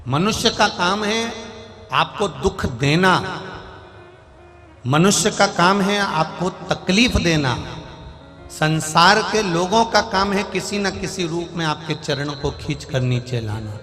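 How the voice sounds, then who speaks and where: An Indian man preaching a devotional sermon to a large crowd. He rises and falls in pitch and urges the listeners to call out their answers. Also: expressive, slow pace. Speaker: preacher telling a Shiva scripture story. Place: large venue with a crowd and speakers. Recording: live sermon in a long reverberant hall with music behind.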